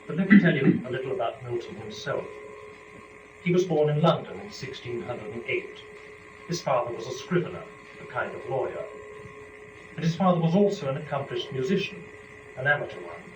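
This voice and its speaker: A man speaking in a formal, deliberate, articulate way, as a performer presenting poetry to listeners. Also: mid-range voice, animated. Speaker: performer and lecturer. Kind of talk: dramatic poetry reading and introductory lecture. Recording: echoey room, distant mic, dull, noisy.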